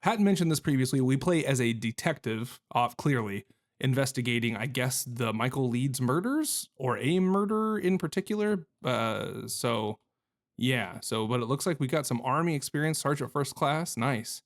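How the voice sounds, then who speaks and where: A man hosts a video game playthrough in a medium-pitched voice. He talks casually and reacts as he goes, rambling and joking with goofy, mild exclamations. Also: animated, normal speed. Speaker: gamer hosting a playthrough video. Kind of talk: casual, reactive gameplay commentary.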